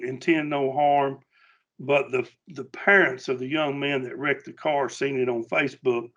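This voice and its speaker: Middle aged guy doing a safety training talk, folksy and kinda halting, medium pitch, bit muffled recording. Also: a bit bright.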